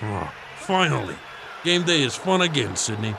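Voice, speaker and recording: A man playing a grumpy, folksy, conspiracy-minded father-in-law in a scripted comedy sketch, gruff and emphatic. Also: mid-range voice, sing-song, normal speed. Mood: gleeful. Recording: noticeable background noise.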